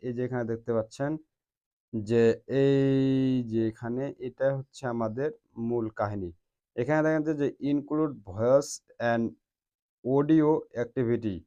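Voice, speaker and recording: A man's voice: mid-range voice, dark tone, highs cut off. A man hosting a step-by-step phone tutorial in a repetitive instructional way. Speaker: tech tutorial host.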